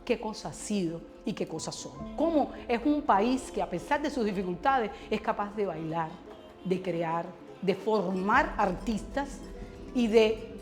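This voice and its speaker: A woman's voice: mid-range voice, darkish tone. Proud Cuban woman dance teacher. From Cuba. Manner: proud, reflective. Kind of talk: documentary interview.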